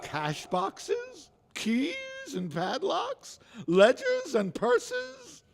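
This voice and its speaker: Crotchety old male miser, very high and wildly swooping, raspy, stammering, fearful, radio drama, long reverb. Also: huge pitch swings, very slow, full character voice. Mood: shaken. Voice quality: gravelly, raspy. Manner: quavering, stammering, theatrical exclamations.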